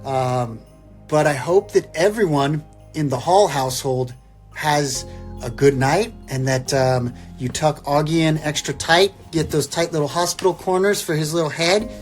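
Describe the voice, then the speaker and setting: Higher-voiced man, wry and theatrical, over music. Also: measured pace. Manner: wry, irreverent, theatrical when reading verse. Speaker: guest reader, comedic poem reciter. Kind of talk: dramatic poem recital broken by casual joking asides. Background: instrumental Christmas music.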